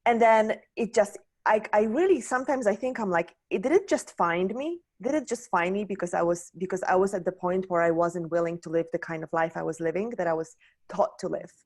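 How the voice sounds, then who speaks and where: Woman from Poland, lowish voice, candid guest, searching and full of restarts. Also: fairly quick, darkish tone. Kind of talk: reflective personal story.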